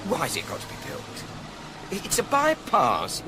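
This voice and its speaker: Against loud vehicle noise, an officious British council man in a radio comedy. He is high-voiced and flustered, his bureaucratic phrases rising and falling sharply. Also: huge pitch swings, a little slow. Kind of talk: comic radio drama acting.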